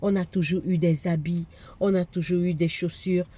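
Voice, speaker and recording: A woman's voice: on the low side, steady tone, moderate pace, dark tone. A woman with a cold preaching a fervent sermon, repeating phrases for emphasis. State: has a cold, congested.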